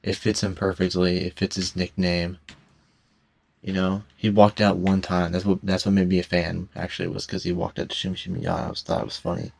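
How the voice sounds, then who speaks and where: A teenage boy speaks in a low, gravelly voice with a lot of vocal fry. He rambles casually into a cheap mic at home, stumbling and restarting his sentences in a self-conscious way. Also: flat delivery, brisk. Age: teens. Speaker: gaming commentator. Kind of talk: rambling casual commentary over gameplay. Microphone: cheap headset mic.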